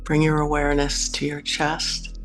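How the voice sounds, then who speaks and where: A man leading a guided meditation in a soothing, instructive manner with long pauses. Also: fairly high pitch, monotone, fairly bright tone. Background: music.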